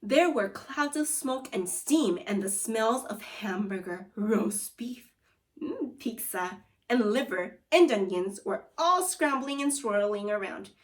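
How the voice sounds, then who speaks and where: A woman teacher reading a children's story aloud and guiding pupils, expressive and instructive with deliberate phrasing. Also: medium pitch, far from the mic. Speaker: second grade teacher.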